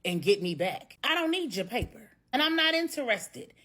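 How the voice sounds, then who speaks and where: A woman's voice: a little high, very animated, normal speed, bright, forceful tone, full character voice. A woman voicing a fed-up, fearless older American grandmother in a storybook tale, with sassy, blunt, emphatic talk. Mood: fed up, firm.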